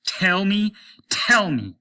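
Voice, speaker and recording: A man's voice: high voice, very animated, slow, pushed and bright, voice pushed up, full character voice. A man voicing a desperate, haggard spaceship captain in a story reading, insistent and demanding. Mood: angry, threatening. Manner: insistent, demanding, repeats himself urgently. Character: desperate, haggard, obsessive male spaceship captain, gun-wielding bully.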